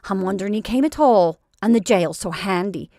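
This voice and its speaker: A woman's voice: mid-range voice, lively intonation, fairly quick, dark tone, no room sound, clean studio sound, lightly acted. A woman voicing a blunt, practical neighbor woman who speaks in a firm, matter-of-fact way.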